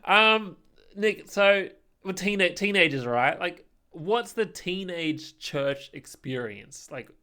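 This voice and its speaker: Young male podcast co-host, slightly high voice, loose conversational storytelling with halting phrasing. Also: lively intonation, bright, forceful tone, clean tone. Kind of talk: casual chat, storytelling.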